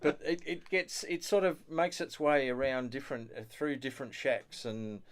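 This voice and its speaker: A man's voice: medium pitch, steady tone, moderate pace. A man hosting a casual studio chat, affirming and given to chuckling as he talks. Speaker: host of an amateur radio show. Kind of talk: casual chat about ham radio.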